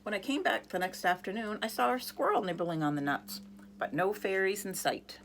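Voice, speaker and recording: A woman's voice: mid-range voice, animated, moderate pace, a bit bright, a little hiss or hum. A woman reading a picture book aloud expressively, now and then speaking to young listeners. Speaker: reader.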